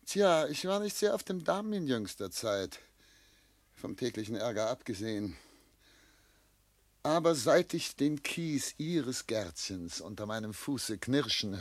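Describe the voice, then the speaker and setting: Tormented elderly gentleman, dry close sound. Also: medium pitch, no room sound. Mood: wry, relieved. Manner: solemn, literary, declamatory phrasing. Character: tormented, self-doubting seventy-year-old gentleman in love. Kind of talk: German classic radio play acting.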